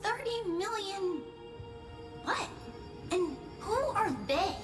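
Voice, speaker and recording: A tiny, timid girl in a game drama, extremely high in pitch and slow to speak. She sounds naive, curious and hesitant, in an echoey space with music. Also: animated, heavily acted. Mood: puzzled. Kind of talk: scripted video game drama acting.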